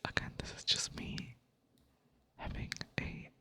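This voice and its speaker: Slow, monotone whispering gamer. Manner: monotone delivery; rambling, casual, self-mocking. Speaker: ASMR gaming creator. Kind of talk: whispered ASMR chat over gameplay.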